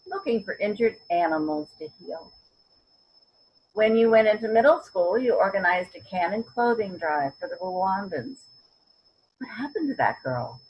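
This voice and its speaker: Woman doing an old mom in her 80s, warm idealist, stage reading, muffled video call sound. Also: mid-range voice, lightly acted. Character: warm, idealistic eighty-three-year-old mother taking up a humanitarian mission. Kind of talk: readers theater scene. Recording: dull, noisy home video call.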